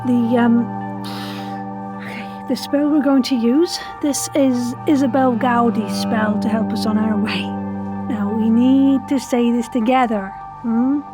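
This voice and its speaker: An actress playing a solemn woman who leads a spell ritual in an audio drama, giving careful, halting instructions over music. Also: a little high, steady tone. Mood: instructive. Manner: hesitant, instructive, grave. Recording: music behind the voice.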